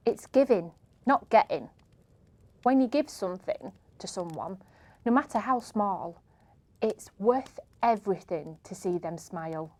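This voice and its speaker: A woman reads children's short pieces aloud. Her delivery is gentle, in a soft, unhurried storytelling manner, with a voice of ordinary middle pitch. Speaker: reader. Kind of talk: reading children's writing aloud.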